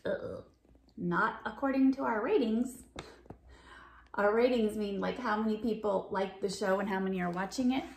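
A woman's voice: medium pitch, moderate pace, gravelly, roomy sound, slight background noise. A middle-aged woman reading a picture book aloud to young children, expressively, stopping to ask them questions. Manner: expressive, asks the listeners questions. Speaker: read-aloud storyteller. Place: small bedroom indoors.

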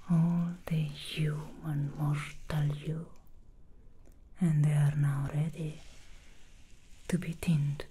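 A soothing, seductive demon stylist who has been cutting hair for centuries, whispered by a woman in an ASMR roleplay. The whisper is breathy and low, unhurried to the point of stillness, with a dark, mellow tone. Each word is placed softly right beside the ear. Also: slight background noise. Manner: soft unvoiced whisper, close to the ear. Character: ancient female demon hairstylist, soothing and seductive. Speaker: ASMR creator.

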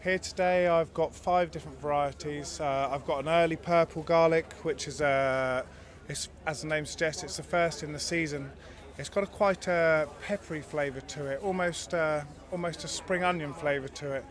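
A man's voice: a little high, steady tone, moderate pace, hardly any pauses. A man in his thirties at an outdoor show stall, casually explaining his produce into a handheld interview mic, with some fillers and restarts. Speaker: garlic farm stall worker. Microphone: handheld mic held by the interviewer.

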